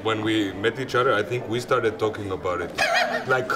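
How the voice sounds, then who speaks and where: A man speaking English as a guest in a casual interview, relaxed and laughing often, with frequent likes and yeahs. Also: on the low side, moderate pace, over a noisy crowd.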